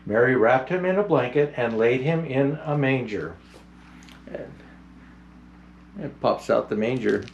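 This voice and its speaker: Kindly old Santa storyteller, played by a man: unhurried, grandfatherly, very animated pitch, children's picture book read-aloud. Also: creaky, dull audio, slight background noise. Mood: gentle storytelling.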